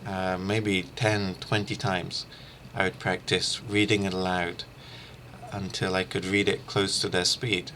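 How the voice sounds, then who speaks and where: A middle-aged man giving an informal instructional screencast as a language teacher, haltingly thinking aloud. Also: deep voice, level tone, pushed and bright, slight background noise. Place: small room lined with shelves, indoors.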